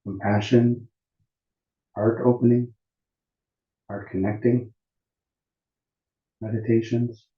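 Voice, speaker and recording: A man teaching meditation at a retreat in a calm, deliberate, unhurried way with long pauses between phrases. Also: medium pitch. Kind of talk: guided meditation instruction at a retreat. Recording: muffled, roomy echoing sound.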